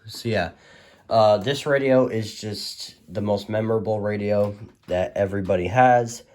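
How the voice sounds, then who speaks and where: Casual male collector-presenter, lowish pitch, hesitant and rambling, informal product showcase delivery. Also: a little slow, a little mellow, slight background noise.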